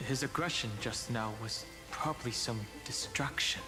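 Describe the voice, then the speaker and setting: A man playing a stoic, reserved young warrior in a video game, speaking in a serious, measured, terse way. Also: medium pitch, music in the background, close to an everyday voice. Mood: firm, serious. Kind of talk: scripted video game drama.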